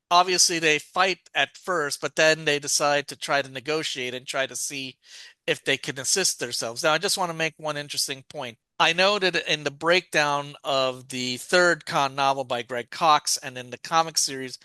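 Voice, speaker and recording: A man's voice: on the high side, sharp tone, clean tone, dry recording. A man co-hosting a casual podcast, recapping a story's plot at length and thinking aloud as he goes. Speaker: podcast co-host.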